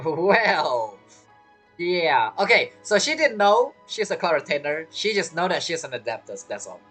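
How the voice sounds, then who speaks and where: Playful woman with low, very lively voice. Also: measured pace, breathy edge, soft background music. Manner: playful, reacts aloud to characters. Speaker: game streamer. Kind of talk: casual playthrough commentary.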